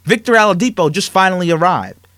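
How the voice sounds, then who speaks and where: A man's voice: high voice, fast, sharp tone, no room sound, clean studio sound. A man hosting a sports talk show, ranting emphatically with rhetorical questions, then chatting with a guest. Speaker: sports talk radio host.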